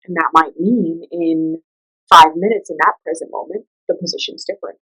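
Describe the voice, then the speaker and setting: A woman leading a guided meditation, giving gentle instructions in a soothing, unhurried and conversational way. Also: lowish voice, slightly rough, rough recording.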